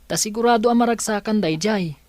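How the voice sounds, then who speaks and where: A man's voice: high-pitched, brisk, no room sound, lightly acted. A man acting in a radio drama as an earnest, hopeful student with an encouraging way of talking.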